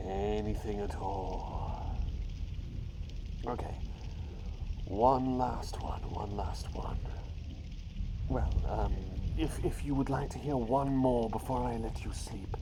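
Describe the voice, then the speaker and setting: A man's voice: on the low side, slow pace, long pauses. A man voicing a playful talking bird doctor in an ASMR roleplay, posing riddles in a teasing, suspenseful way and repeating his phrases. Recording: clear steady background noise.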